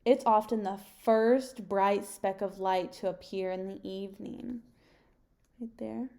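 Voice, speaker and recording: A young adult woman reading a picture book aloud like a teacher, pausing and putting questions to her young listeners. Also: mid-range voice, fairly even intonation, mellow. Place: home kitchen, indoors.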